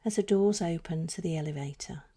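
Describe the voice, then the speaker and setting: A woman with a low, mellow voice leads a guided spiritual meditation. Her delivery is soft and breathy, often sinking close to a whisper. She places each phrase slowly and soothingly, leaving room between them. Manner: slow, soothing, deliberate phrasing with pauses.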